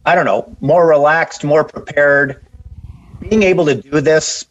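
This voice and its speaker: A man's voice: a little high, steady tone, measured pace, a little hiss or hum. Reporter guy asking rambly questions, kinda muffled lo-fi audio. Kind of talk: press conference question. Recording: dull lo-fi sound.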